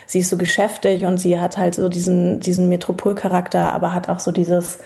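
A woman in her thirties from Berlin, a guest talking in a relaxed, reflective way with many pauses and fillers. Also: on the low side, vocal fry. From Berlin, Germany. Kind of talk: casual interview chat.

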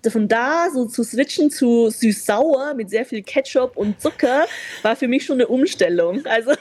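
A woman's voice: fairly high pitch, lively intonation, moderate pace, a little mellow. A young woman born in China, speaking as a guest in a candid conversation, reflective and open, with frequent fillers and easy laughter.

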